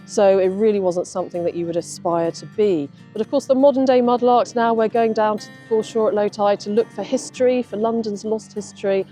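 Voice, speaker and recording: A woman's voice: medium pitch, steady tone, fairly quick, few pauses. A woman, an expert mudlark, explaining her hobby informally. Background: soft guitar music.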